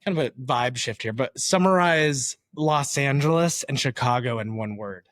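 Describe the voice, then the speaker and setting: Podcast host firing quick questions. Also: mid-range voice, expressive, a bit bright, no room sound, studio quality. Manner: quick quiz-style questions with short reactions. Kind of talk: rapid-fire interview questions.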